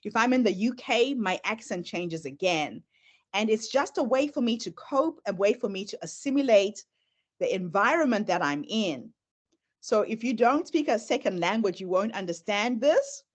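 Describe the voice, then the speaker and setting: A woman's voice: mid-range voice, expressive, normal speed. A middle-aged woman whose first language is Shona, speaking with a Zimbabwean accent tinged with American, giving an earnest presentation and sharing her own story as she moves through slides. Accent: Zimbabwean, mixed with American. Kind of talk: presentation talk.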